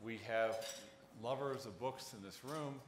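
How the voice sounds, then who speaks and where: A man moderating a live on-stage conversation, addressing guest and audience in a deliberate, ceremonious way. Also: medium pitch. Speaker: interviewer and moderator. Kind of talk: formal live on-stage hosting. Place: live event in a large room. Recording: echoey, noisy event room.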